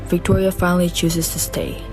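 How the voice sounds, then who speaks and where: A woman's voice: lowish voice, flat delivery, moderate pace. A woman reading the narration of a student radio drama carefully, with some slips. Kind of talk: read-aloud narration. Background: music.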